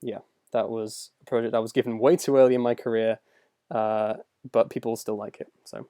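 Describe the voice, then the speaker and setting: A man's voice: lowish voice, fairly even intonation, normal speed, dark tone, clear, resonant tone, dry recording, studio quality. A young man casually answering viewer questions on a livestream, rambling and self-deprecating as he goes. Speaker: animator and video creator answering viewer questions.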